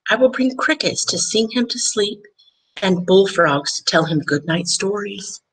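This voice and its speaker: A woman voicing an eager young boy with big plans in a gentle picture-book read-aloud. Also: medium pitch, expressive, measured pace, slightly rough, exaggerated voice, rough recording. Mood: earnest.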